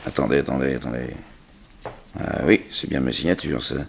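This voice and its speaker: An actor playing a modest, easily rattled prison warder with a gravelly, husky voice and a hesitant, grumbling manner, in a 1960s French radio drama. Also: male voice, a bass voice, a little fast, slight background noise, heavily acted. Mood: uneasy, puzzled. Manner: hesitant, grumbling, plain-spoken. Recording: old radio serial with music behind.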